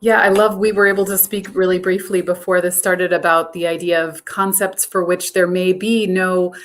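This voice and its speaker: A woman's voice: lowish voice, flat delivery, normal speed. Curator host: woman, encouraging, easy questions with a few ums. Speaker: curator and interviewer. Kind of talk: museum talk introduction and interview.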